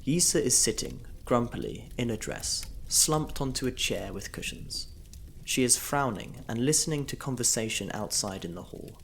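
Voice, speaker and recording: A man reading the scene directions of a period audio play in a measured, even way. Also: mid-range voice, creaky, slight background noise. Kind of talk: scripted narration of stage directions.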